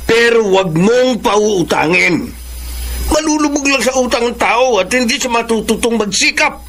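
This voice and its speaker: A man's voice: extremely high pitch, lively intonation, moderate pace, vocal fry, a bit bright, heavily acted. An actor playing a stern, domineering middle-aged businessman father in a Filipino radio drama, lecturing and commanding. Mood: scolding.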